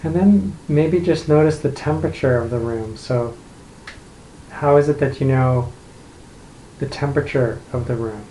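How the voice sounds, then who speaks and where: A man leading an unscripted guided meditation, speaking gently and unhurriedly with long pauses between phrases. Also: medium pitch. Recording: roomy echo, light background noise.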